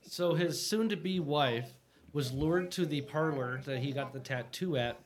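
Guy telling a story on a podcast, bit high pitched, blunt, swears some. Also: a little slow. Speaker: podcast co-host. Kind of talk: casual storytelling and banter.

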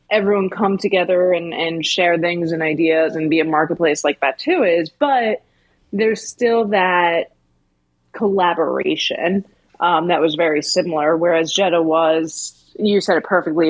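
A woman's voice: fairly low pitch, slightly rough, no room sound, low-quality recording. A woman co-hosting a podcast, chatting thoughtfully and searching for words. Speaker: podcast co-host. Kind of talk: casual chat.

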